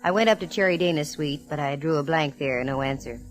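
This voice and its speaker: An actress plays a self-assured American woman detective from a 1940s radio mystery. Her voice sits low for a woman. She is wry and breezy, delivering hardboiled narration and snappy banter. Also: fairly quick, close to an everyday voice. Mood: wry storytelling. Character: wisecracking, self-assured female private eye telling her case.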